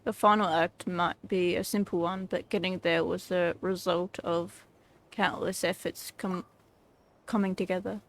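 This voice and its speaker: A woman streaming a video game, commenting and haltingly reading dialogue aloud. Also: fairly low pitch, level tone, a little hiss or hum. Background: game music.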